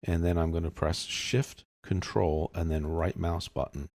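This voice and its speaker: Deep, creaky-voiced male software instructor. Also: steady tone, a little slow, dry recording, clean studio sound. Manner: casual, step-by-step explaining. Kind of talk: tutorial narration over screen demonstration.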